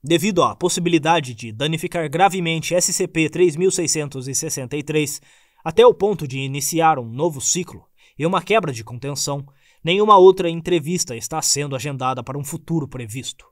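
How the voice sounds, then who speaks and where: A man narrating a formal report in a measured reading. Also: on the high side, no room sound, studio quality.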